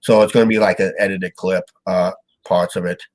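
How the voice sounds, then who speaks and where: A man's voice: low-pitched, steady tone, fairly quick, a little mellow. A man from Iowa hosting a casual live-stream chat, with a stuffed-up nose. He rambles and halts, trailing off with "and that". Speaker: show host.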